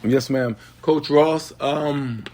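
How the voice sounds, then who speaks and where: A man hosting a late-night podcast, hoarse from a sinus infection, speaking haltingly with many ums and formal, respectful questions. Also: a little high, a little mellow. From East Coast of the United States. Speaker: podcast host.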